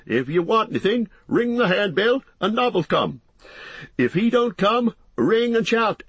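A fat, harried innkeeper, pitched high and swooping about as he goes. The voice is husky and the talk rambles on in folksy, breathless phrases, as in a storybook reading. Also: big pitch swings, a little slow, a bit bright, no room sound, exaggerated voice. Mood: flustered, chatty. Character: fat, bustling, harried, talkative middle-aged male innkeeper. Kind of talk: audiobook character voice.

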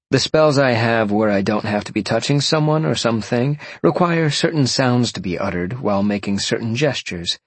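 A man's voice: lowish voice, husky, dry recording, voice pushed up. A man voicing a playful, teasing young sorceress who talks in a breezy, confiding way for an audiobook.

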